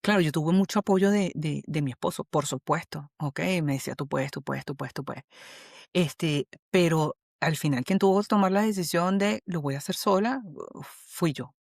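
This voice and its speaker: A Venezuelan woman, a human resources specialist, talking as a guest in an interview, animated and often checking in with "¿ok?". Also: deep voice, normal speed, gravelly, no room sound, studio quality. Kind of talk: conversational interview.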